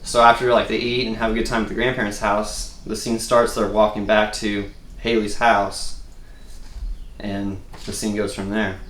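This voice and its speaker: A man's voice: fairly low pitch, little pitch movement, normal speed, fairly bright tone. Hesitant, casual man in echoey room. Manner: hesitant, rambling. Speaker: table-read presenter. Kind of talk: casual, informal explanation. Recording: roomy echo with background noise.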